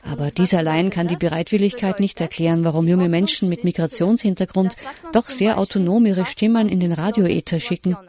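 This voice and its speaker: Brief: female narrator for a radio feature, a little low, measured and reflective. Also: fairly even intonation, crowd noise. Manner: reflective, questioning, measured delivery. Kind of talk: scripted documentary narration.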